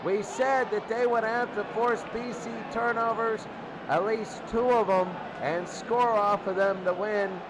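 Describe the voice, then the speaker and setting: A man calling American football play-by-play as announcer, excitable and repeating phrases for emphasis. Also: high voice, unhurried. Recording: loud crowd roar and heavy noise. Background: roaring stadium crowd.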